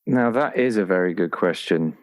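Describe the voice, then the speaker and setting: Low-voiced man, playful and rambling. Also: lively intonation, normal speed, rough recording. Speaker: tabletop roleplaying player. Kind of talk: casual chat about a game character.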